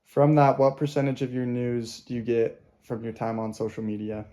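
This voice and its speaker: A man reading scripted documentary narration in an earnest, steady way. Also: mid-range voice, moderate pace. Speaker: student narrator. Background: guitar music.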